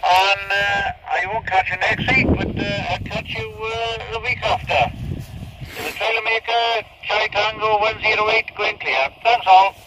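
A man's voice: sits high, steady tone, unhurried. A man talking casually as a CB operator in a radio net exchange, heard over a two-way radio. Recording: very noisy two-way radio reception.

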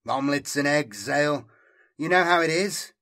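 A man's voice: a little high, normal speed, pushed and bright, pitched above the performer's own voice. Man acting a sly, teasing corrupt bureaucrat. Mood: goading. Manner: casual, teasing, unabashed. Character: sly, amused, casually corrupt male bureaucrat.

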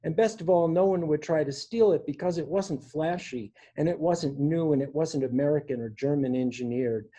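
A man in his sixties reading poetry aloud in a measured way, pausing between phrases, recorded at home on a video call. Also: a little high, warm tone. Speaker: poet reading own work. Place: indoor room at home. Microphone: device mic on a video call.

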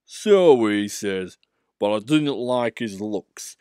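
A man doing a pompous big engine, slow and haughty, lively pitch, dry studio sound. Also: clean tone, dry recording. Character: pompous boastful big male express engine.

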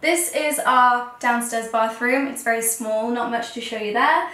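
Echoey, higher-pitched young English woman vlogging. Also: a little slow, sharp tone. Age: young adult. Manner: informal, chatty presenting. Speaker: lifestyle vlogger and host. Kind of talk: chatty house tour to camera. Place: rooms of a house, indoors. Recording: echoey rooms, distant mic, some noise.

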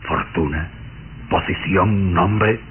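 An actor playing a pale, suave, scheming count in an old radio serial, courtly and insinuating in manner. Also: male voice, deep voice, takes it slowly. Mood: seductive, intense. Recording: muffled, hissy old radio serial recording.

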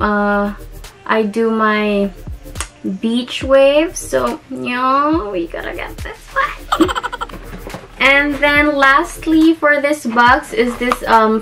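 A woman's voice: high voice, a bit bright. A young woman with a Filipino accent, talking casually through a beauty haul with lots of ums and little giggles. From Philippines. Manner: chatty, giggly, with many ums and restarts. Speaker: beauty vlogger.

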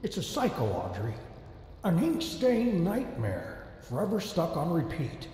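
A grand, theatrical studio boss. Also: male voice, fairly high pitch, huge pitch swings, unhurried, warm tone, a little hiss or hum. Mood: ominous. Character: smooth, self-assured old studio founder, theatrical. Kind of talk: video game cutscene acting. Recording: roomy reverberant game audio with ambience.

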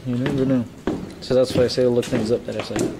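A man's voice: mid-range voice, little pitch movement, fast. A young man filming himself exploring an abandoned factory, talking casually with a self-mocking, easygoing manner. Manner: laid-back, self-mocking, chuckling. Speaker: vlogger filming an urban exploration. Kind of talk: casual walking commentary. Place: outdoors and inside an abandoned factory. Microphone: handheld camera mic. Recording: camera mic with outdoor noise.

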